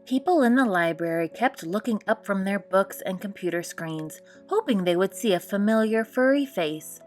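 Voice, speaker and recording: A woman reading a children's picture book aloud as expressive storytime narration. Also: mid-range voice, moderate pace, soft background music. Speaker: storytime read-aloud host.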